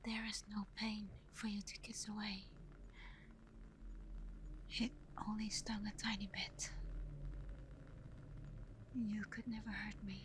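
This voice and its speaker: A woman acts out a tender vampire girlfriend in an intimate ASMR roleplay. Her voice is a soft, breathy whisper that moves slowly and stays on a very even pitch. There is some background noise around her gentle, devoted words. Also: lightly acted.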